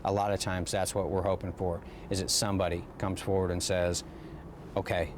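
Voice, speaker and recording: A man's voice: low voice, flat delivery, brisk, a little hiss or hum. A man with an American accent, a police lieutenant explaining a case in an interview.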